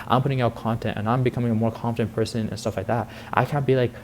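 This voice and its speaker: A man's voice: mid-range voice, little pitch movement, rapid-fire, a little mellow, slight background noise. An eighteen-year-old man rambling in a casual, slangy, confessional vlog monologue, full of filler words. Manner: rambling, heavy youth slang with frequent "like" and "bro". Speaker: vlogger and content creator.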